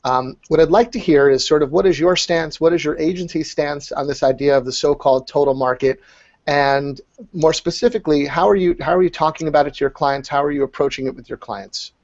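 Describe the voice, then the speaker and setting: A man's voice: fairly high pitch, fairly quick, a little mellow. Upbeat male host, muffled audio. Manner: upbeat scripted introductions. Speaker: show host. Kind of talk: hosting an interview. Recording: dull lo-fi recording.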